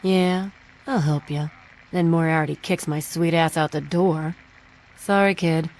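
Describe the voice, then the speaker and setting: Low-voiced, jaded saloon woman. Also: fairly even intonation, a little slow, darkish tone, poor audio. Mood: wry, resigned. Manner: world-weary, blunt, familiar. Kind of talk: video game character dialogue.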